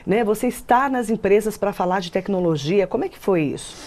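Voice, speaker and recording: A woman's voice: medium pitch, lively intonation, fairly quick, darkish tone. A woman with a Brazilian accent hosting a television interview in a conversational style. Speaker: TV interview host.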